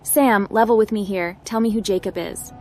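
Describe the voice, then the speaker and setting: A woman's voice: medium pitch, fairly even intonation, moderate pace, faint music behind, natural voice. A woman as cool, wry hacker heroine. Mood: pressing. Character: confident, wry female hacker adventurer. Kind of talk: scripted roleplay voice-over for video game.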